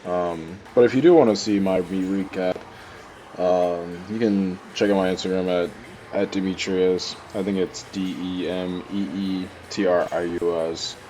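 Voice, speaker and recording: A young man with a very low voice talks over his training footage while getting over a cold. He sounds stuffy and congested and keeps clearing his throat, wandering from point to point with plenty of fillers. A faint hiss sits under the recording. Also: fairly even intonation, measured pace, mellow. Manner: rambling, many fillers and restarts. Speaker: powerlifter narrating his training. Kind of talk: casual voice-over narration.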